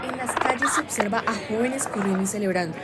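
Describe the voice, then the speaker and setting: A woman's voice: a little high, fast, very noisy, natural voice. A woman playing a solemn radio news reporter, reading a scripted bulletin in a formal, declarative manner. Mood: solemn, proud. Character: solemn female radio news reporter announcing historic events.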